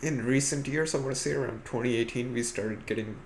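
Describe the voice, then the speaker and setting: A man with a medium-pitched voice chats informally as a podcast co-host. He speaks in a casual, opinionated way, and there is clear noise behind him in the recording. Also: brisk. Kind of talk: casual chat about films and games.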